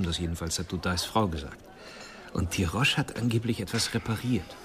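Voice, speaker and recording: An actor playing a matter-of-fact police investigator in a German radio crime drama, reporting in a conversational way. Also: male voice, lowish voice, close to an everyday voice.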